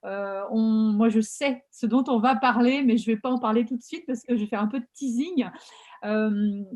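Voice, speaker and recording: This is a woman host with a somewhat high voice who talks chattily and with energy while she interviews a guest. She leans on fillers and restarts, and she is speaking over a video call. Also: moderate pace, a little mellow. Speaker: podcast host and coach. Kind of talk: casual interview hosting.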